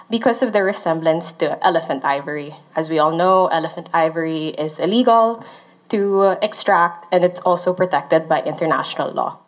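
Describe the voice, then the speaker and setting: A woman from the Philippines, a research associate, calmly explaining findings with occasional fillers. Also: on the low side. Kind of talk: explanatory interview talk.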